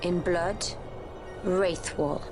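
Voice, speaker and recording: A woman playing a calm, wise, non-human warrior in a video game, speaking solemnly in measured, archaic phrases. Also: fairly low pitch, music in the background. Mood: solemn, incantatory. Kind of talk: video game cutscene acting.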